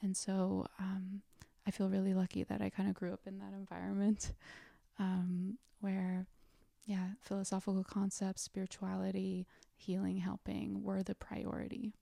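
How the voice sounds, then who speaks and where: A young woman from South Korea, speaking as an interview guest in a soft, thoughtful way with frequent pauses. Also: mid-range voice, completely flat delivery, gravelly, no echo, clean studio sound. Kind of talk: reflective interview talk.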